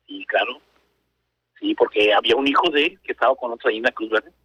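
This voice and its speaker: A man in his fifties, a paramedic calling in to a radio show, chatting casually and telling stories with slangy, quick speech. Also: mid-range voice, sharp tone, breathy edge, no room sound. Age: 50s. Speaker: paramedic caller. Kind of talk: casual phone chat. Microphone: phone. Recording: dull lo-fi phone line.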